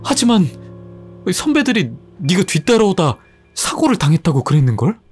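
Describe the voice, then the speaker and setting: A woman's voice: fairly low pitch, big pitch swings, normal speed, a little mellow, husky, soft background music, pitched above the performer's own voice. A man voicing a frightened young female college student who pleads and insists. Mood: bewildered.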